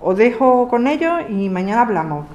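A woman playing a brisk housing activist explaining a case to neighbours in a radio drama. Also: a little high, sing-song, darkish tone, reverberant, muffled recording. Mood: businesslike. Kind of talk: amateur radio drama acting.

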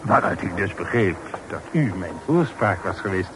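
A man's voice: deep voice, animated, moderate pace. An actor in a Dutch radio play, playing an earnest, deferential townsman who recounts things formally and politely. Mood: earnest, indignant. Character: earnest, deferential townsman reporting troubling news to a gentleman. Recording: noisy old radio play recording.

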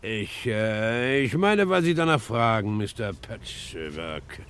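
An actor playing a shifty, evasive petrol station attendant who hesitates and stammers in an old German radio crime drama. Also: male voice, on the low side, very animated, takes it slowly, a bit bright, clear, resonant tone, heavily acted. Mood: evasive, nervous.

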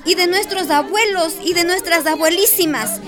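An actress playing a defiant woman of a rural community who speaks bluntly and with a sharp tongue. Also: very high-pitched, moderate pace, over music.

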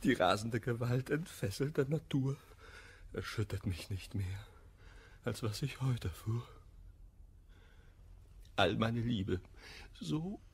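Jilted, melodramatic gentleman, wildly swinging pitch. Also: slightly rough, exaggerated voice. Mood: stunned, despairing. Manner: theatrical, anguished verse declamation. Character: jilted, hot-tempered gentleman lover, wounded and melodramatic. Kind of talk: German radio play of classical verse comedy.

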